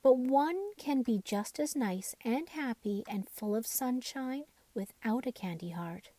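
A woman reading the part of a practical, sweet-natured girl rag doll in a storybook, gentle and sensible. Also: fairly high pitch, lively intonation, measured pace, no echo, voice pushed up, low-quality recording.

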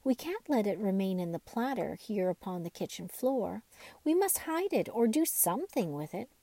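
Woman as a kindly, mellow uncle doll. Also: mid-range voice, sing-song, moderate pace, dry recording. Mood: earnest.

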